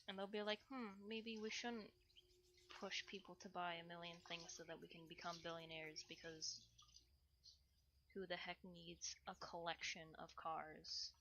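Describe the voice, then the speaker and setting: Chatty woman over clear background noise. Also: fairly low pitch, fairly even intonation, measured pace, pushed and bright. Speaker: streamer hosting a live chores stream. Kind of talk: casual rambling chat while doing chores. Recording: clearly noisy room with household ambience.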